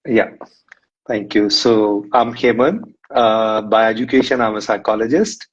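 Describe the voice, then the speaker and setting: A man's voice: fairly low pitch, fairly even intonation, measured pace. A man, a guest in a live-stream interview, telling his story in a rambling way with many fillers. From India. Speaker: guest, runner and psychologist. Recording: muffled lo-fi stream audio.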